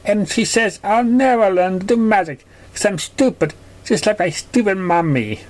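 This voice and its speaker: A man's voice: sits high, normal speed, pitched above the performer's own voice, lightly acted. A man voicing a hurt, childlike little girl pony in a plaintive story voice. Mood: hurt, downcast.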